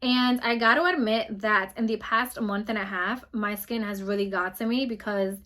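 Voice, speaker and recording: A woman in her twenties with a New York accent, chatting quickly and bubbly through a casual product review vlog. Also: medium pitch, steady tone, bright, forceful tone. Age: 20s. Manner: fast-talking, bubbly and emphatic. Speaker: beauty vlogger.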